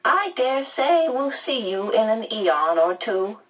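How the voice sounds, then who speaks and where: A genteel storybook lady from another world voiced by a woman reader. She is brisk and kindly, fussing and soothing with a lilting rise and fall. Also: on the high side, big pitch swings, far from the mic, voice pushed up, rough recording. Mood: fond farewell.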